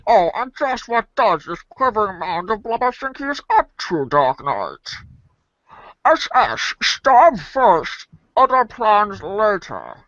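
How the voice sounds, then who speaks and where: A woman giving an animated reading of comic narration for a podcast. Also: medium pitch, slow, dry recording, dull audio. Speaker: reader in a webcomic reading podcast.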